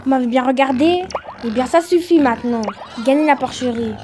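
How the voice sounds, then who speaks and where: A woman's voice: high voice, a little fast, a little mellow, faint music behind, lightly acted. A woman playing a sorceress and hostess in an audio drama, with a cooing, theatrical manner that can turn scornful. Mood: scornful, commanding.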